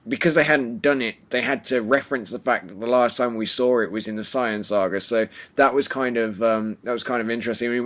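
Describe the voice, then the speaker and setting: A hoarse man hosting a casual review chat, keeping his voice low to spare it. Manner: talking quietly to spare his voice. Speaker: host.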